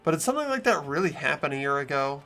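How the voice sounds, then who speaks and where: A man's voice: a little high, sing-song, fast, pushed and bright, soft background music, exaggerated voice. A man voicing an earnest, thoughtful teenage hero, narrating and speaking in amateur game voice acting. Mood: earnest, troubled.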